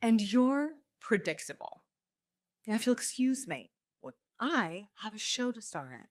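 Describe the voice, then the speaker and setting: A woman's voice: fairly high pitch, big pitch swings, a little slow, no echo, clean studio sound. A woman playing a cool, defiant, sardonic wealthy woman facing her ex in an audio drama, with a taunting manner.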